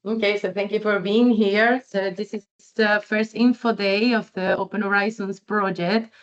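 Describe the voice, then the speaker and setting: A woman's voice: medium pitch, steady tone, normal speed. A woman moderating an online info session, friendly and a little hesitant. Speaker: event moderator. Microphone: video call. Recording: muffled video call audio.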